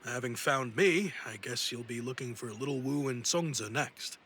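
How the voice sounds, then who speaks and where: A man's voice: mid-range voice, normal speed, pushed and bright, slight background noise. A man voicing a self-assured, sentimental young businessman in an anime game, reflective and assured. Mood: earnest. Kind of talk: anime game dialogue acting. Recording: game music over the voice.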